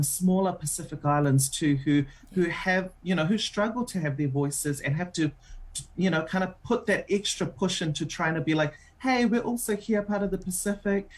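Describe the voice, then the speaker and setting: A woman with a New Zealand accent, a radio show host being interviewed on air, talking in a chatty way with many filler ums. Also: fairly low pitch.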